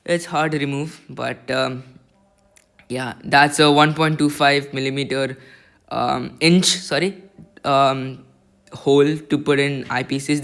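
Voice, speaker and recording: A man with a squeaky voice giving a rambling, self-conscious voice-over review of a telescope, recorded on a laptop mic. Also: a bit bright. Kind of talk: casual voice-over product review.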